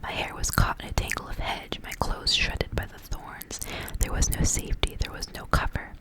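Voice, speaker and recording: Female ASMR storyteller, fully whispered, hushed and deliberate delivery of literary fairy-tale prose. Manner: soft unvoiced whisper, hushed and deliberate, phrase by phrase.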